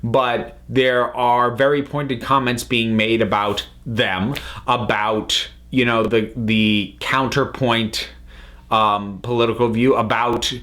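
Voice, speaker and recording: Man giving an analytical, halting spoken review. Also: mid-range voice, steady tone, fairly bright tone. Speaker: reviewer, channel host. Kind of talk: unscripted spoken review commentary.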